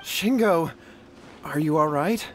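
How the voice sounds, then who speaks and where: An actor playing an anxious, remorseful young brother in a video game, polite and deferential. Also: male voice, on the high side, slow, slight background noise. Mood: worried, regretful. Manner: deferential, apologetic. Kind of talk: video game character acting.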